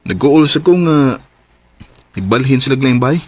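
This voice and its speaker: A man's voice: medium pitch, lively intonation, moderate pace, a little mellow, lightly acted. Man acting a nervous, stammering young Cebuano guy. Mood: awkward, evasive. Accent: Filipino, Cebuano-speaking. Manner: hesitant, stammering. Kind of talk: radio drama acting. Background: background music.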